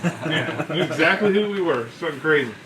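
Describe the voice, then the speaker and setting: A middle-aged American man, a veteran, casually telling profanity-laced service stories in an animated way, acting out what others said. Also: a little high, a little fast, background noise. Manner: animated, profane, acts out quoted dialogue. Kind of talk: casual anecdotal storytelling.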